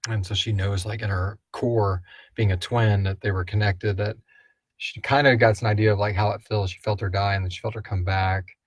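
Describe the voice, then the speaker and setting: A man's voice: on the low side, fairly even intonation, a little fast, no echo. A man hosting a podcast, chatting casually and thinking aloud as he answers listener questions. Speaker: podcast host and creator. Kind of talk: casual live Q&A chat.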